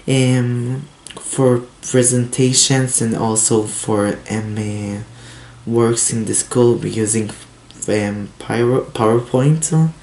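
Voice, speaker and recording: A man's voice: fairly low pitch, creaky, a little mellow. Nervous teen boy with Hebrew accent. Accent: Israeli Hebrew. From Israel. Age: teens. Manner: nervous, halting non-native English with many fillers. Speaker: tech vlogger. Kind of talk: casual unboxing and review vlog. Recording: roomy echo, faint hiss.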